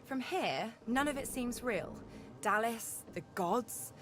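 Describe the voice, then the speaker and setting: A woman voicing a wistful young woman companion who muses softly and reflectively. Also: fairly high pitch, lively intonation, takes it slowly, background noise. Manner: soft, musing, reflective.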